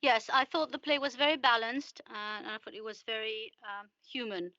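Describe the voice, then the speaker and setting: Woman interviewer with a bright, muffled sound. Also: on the high side, steady tone, normal speed, no room sound. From United Kingdom resident. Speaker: interviewer, podcast host. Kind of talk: podcast interview.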